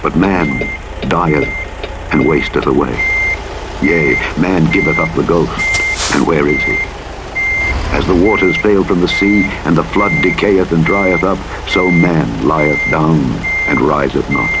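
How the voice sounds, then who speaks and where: A man with a very low voice gives a solemn reading of scripture. His delivery is formal and measured, with a dramatic narrator's weight. Clear truck engine and vehicle noise runs behind him. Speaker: Bible audiobook narrator. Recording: playback under loud truck engine noise. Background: truck engine and vehicle noise from a driving game.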